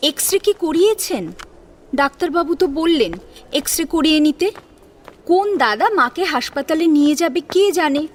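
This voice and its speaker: A woman's voice: sits high, lively intonation, fairly quick. A woman reading a dramatic audio story as its narrator. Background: background music.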